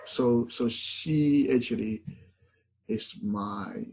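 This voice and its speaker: Husky, halting male lecturer. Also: medium pitch, darkish tone. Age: middle-aged. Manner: halting, many fillers and self-corrections. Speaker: university lecturer. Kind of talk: classroom lecture.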